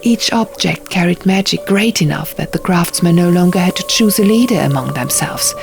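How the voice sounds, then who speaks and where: A woman voicing an earnest young man who recounts dark ancient lore in an audiobook, measured and theatrical, pausing for effect. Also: on the low side, lively intonation, vocal fry. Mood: solemn storytelling. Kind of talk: audiobook character dialogue. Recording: music bed under the reading.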